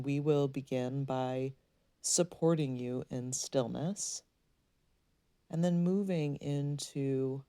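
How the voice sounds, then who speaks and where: A woman leading a guided meditation, speaking in a soothing, gently instructing way with unhurried pauses. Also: extremely low pitch, dry recording.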